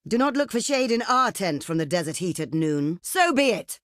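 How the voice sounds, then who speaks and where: A woman's voice: medium pitch, big pitch swings, moderate pace, a bit bright, no echo, clean studio sound. A woman playing a proud, imperious ancient desert queen for a video game, speaking in a regal, theatrical, declamatory way. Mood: stern, threatening. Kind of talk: video game diplomacy voice lines.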